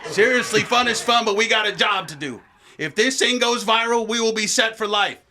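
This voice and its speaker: A man's voice: sits high, fairly quick, crowd noise, close to an everyday voice. A man playing a manic, cocky gang leader in an audio drama, rowdy, foul-mouthed and teasing. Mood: hyped, bossy.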